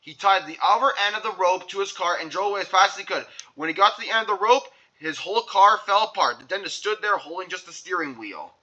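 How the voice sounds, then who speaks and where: A man's voice: high-pitched, animated, fast, few pauses, bright, forceful tone, highs cut off. A young man narrating a children's storybook aloud. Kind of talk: animated read-aloud narration.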